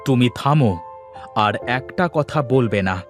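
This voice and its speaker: A man's voice: medium pitch, a little slow, soft background music, natural voice. A man voicing an indignant, protective father in a cartoon, speaking emphatically. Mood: angry, worried. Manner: emphatic, reproachful. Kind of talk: cartoon dialogue acting.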